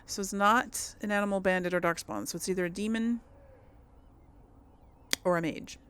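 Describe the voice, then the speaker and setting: A woman's voice: medium pitch, normal speed, fairly bright tone, a little hiss or hum. A woman hosting a gaming video, giving casual commentary and thinking aloud informally as she plays.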